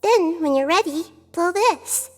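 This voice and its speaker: A woman voicing a small, gentle, wise childlike goddess in a video game, composed and articulate, with a soft girlish voice. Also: very high voice, lively intonation, unhurried, faint music behind. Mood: encouraging. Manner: composed, articulate, kindly explaining.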